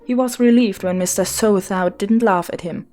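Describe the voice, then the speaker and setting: A woman's voice: medium pitch, moderate pace, dark tone. A woman reading a children's story as its narrator, in a scripted storybook style. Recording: music behind the voice.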